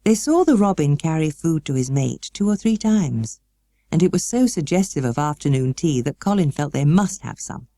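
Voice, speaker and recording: Mellow, expressive British woman storyteller. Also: low voice, normal speed, dry recording, studio quality. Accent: British English. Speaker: audiobook narrator. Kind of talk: expressive scripted storybook narration.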